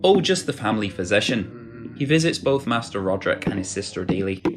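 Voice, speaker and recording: A man's voice: on the low side, sing-song, a little fast, loud music behind the voice. An actor playing a reserved, deferential manservant in a radio drama, formal and courteous in his speech. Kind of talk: period radio drama acting.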